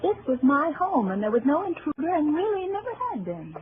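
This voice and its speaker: A woman's voice: high voice, big pitch swings, a little fast, over music. An actress playing a nervous, suspicious woman who lives alone, in a dramatic old-time radio style with hesitant delivery. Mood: uneasy, brooding. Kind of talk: old-time radio drama acting, with first-person narration. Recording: muffled, tinny old radio broadcast.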